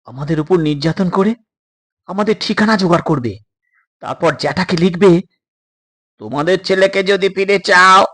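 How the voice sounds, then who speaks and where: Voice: mid-range voice, moderate pace, long pauses, fairly bright tone, lightly acted. A storyteller voicing a brave but worried captive boy, speaking with uneasy urgency in an audio story. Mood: worried, reasoning. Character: brave, worried adolescent boys held captive, reasoning together. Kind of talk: dramatized audio story dialogue.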